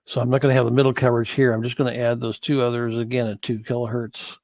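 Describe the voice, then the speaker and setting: Man, dry-sounding, halting tutorial delivery. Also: mid-range voice, level tone, a little mellow, no room sound. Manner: halting, hesitant delivery with self-corrections. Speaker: course instructor in church sound. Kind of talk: informal screen-share software tutorial, thinking aloud.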